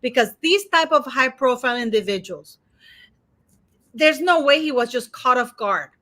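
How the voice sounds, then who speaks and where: A woman with a Montenegrin accent hosting a live stream, chatty and excitable, with lots of fillers and restarts. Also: sits high, moderate pace. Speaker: host. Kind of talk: casual live-stream chat.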